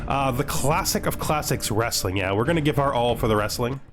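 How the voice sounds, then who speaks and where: A man's voice: mid-range voice, lively intonation, fairly quick, over music. A man giving casual let's-play commentary, thinking aloud in short remarks and at times reading game text out. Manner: thinks aloud in short remarks, role-playing along in the first person. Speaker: gaming video host playing and commenting.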